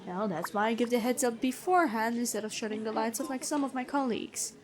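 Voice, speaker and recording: A woman playing a gentle but stern, bookish female prison guard in a fantasy ASMR roleplay, chatty and inquisitive. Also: fairly high pitch, quick pace, lightly acted. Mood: stern, considerate. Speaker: ASMR voice actor.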